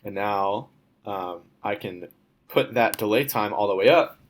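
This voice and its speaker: Low-voiced young man explaining software. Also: a little slow, noisy background. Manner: informal, step-by-step explaining. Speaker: student presenting a class project. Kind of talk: software demo walkthrough.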